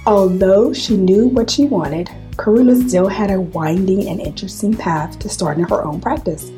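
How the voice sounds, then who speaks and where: A woman hosting a podcast interview, her voice deep and scratchy because she is hoarse with a cold. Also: moderate pace, mellow, soft background music. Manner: reads her intro from a script, then asks short friendly questions. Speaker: podcast host.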